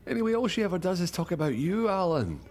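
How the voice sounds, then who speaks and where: A man's voice: high voice, big pitch swings, quick pace, a little mellow. An actor playing a blunt, cheeky, matey young man in a radio soap. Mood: incredulous.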